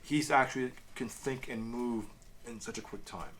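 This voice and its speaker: A man's voice: mid-range voice, normal speed, a bit bright, slight background noise. A man co-hosting a casual review chat, explaining things in a loosely worded way with asides. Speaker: co-host of a comic review show.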